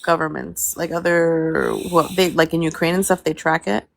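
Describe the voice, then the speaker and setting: A woman's voice: deep voice, level tone, moderate pace, vocal fry. A woman in a casual online debate, speaking in a rambling, hesitant way with many filler words. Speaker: debate participant. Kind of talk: casual live-stream debate.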